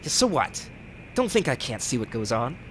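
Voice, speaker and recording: A man acting a cynical smuggler pilot in a 1940s radio thriller. He talks in insolent, sneering slang at a middling pitch, over a faint engine rumble. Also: big pitch swings, normal speed, natural voice, rough recording. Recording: faint vehicle rumble behind the voice.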